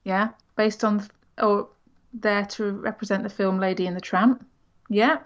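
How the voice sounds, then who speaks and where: A woman co-hosting a podcast in a playful, chatty way. Her voice sits mid-pitch and comes through slightly dull and lo-fi. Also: steady tone, a little slow. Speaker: podcast co-host. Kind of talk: casual podcast chat.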